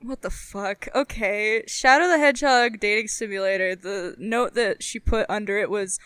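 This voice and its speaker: A woman's voice: mid-range voice, normal speed, a bit bright, clear, resonant tone, no room sound. A woman co-host chatting casually and informally, giggly and free with swearing. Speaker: podcast co-host. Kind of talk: casual chat.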